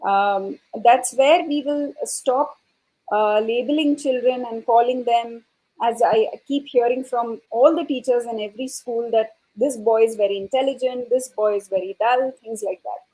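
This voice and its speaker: Female panelist, high-pitched and husky, explaining ideas in a discussion with thoughtful breaks. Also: moderate pace, dark tone, low-quality recording. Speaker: panelist, educator.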